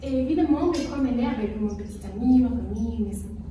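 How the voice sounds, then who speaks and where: A woman's voice: a little high, fast talker, dark tone, natural voice. A woman playing a proud, thankful quiz show contestant in an earnest manner. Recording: echoey room, distant mic, noisy.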